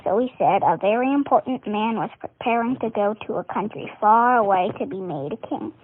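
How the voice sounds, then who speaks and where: A woman's voice: medium pitch, animated, fairly quick. A female reader giving a scripted reading of a Bible story over a tinny, phone-like recording. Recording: tinny phone-like band-limited audio.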